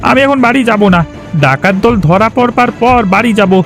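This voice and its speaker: A man doing a high gravelly cartoon friend. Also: brisk, fairly bright tone, soft background music. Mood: urgent. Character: kidnapped male friend, frightened and urgent.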